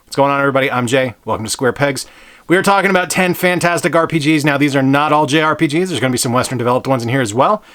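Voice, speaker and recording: A man's voice: medium pitch, racing, fairly bright tone. A man hosting a game channel, talking about games in an upbeat, enthusiastic way. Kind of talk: casual game review talk.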